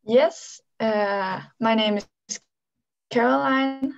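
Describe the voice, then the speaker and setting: A fourteen-year-old girl from Denmark introducing herself on a video call, shy and halting, stumbling over words. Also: fairly high pitch, creaky. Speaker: guest. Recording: dull dry call audio.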